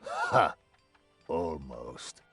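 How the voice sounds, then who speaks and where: A man voicing a grim, menacing enforcer with dry humour and a raspy voice in a fantasy video game. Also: huge pitch swings, very slow, fairly bright tone, no room sound, faint music behind, exaggerated voice. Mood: curt, menacing. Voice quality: deep gravelly rasp.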